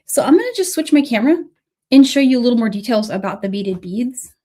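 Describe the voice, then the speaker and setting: A woman in her thirties or forties hosts a relaxed livestream beading class, her slightly high voice touched by a cold and the odd cough. She talks in a chatty, friendly way, thanking viewers by name as she works. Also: fast talker. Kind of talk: casual livestream tutorial.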